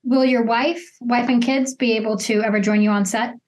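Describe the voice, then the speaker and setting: A woman's voice: a little high, fairly even intonation, normal speed. A woman hosting an interview in a casual, conversational way. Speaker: interview host.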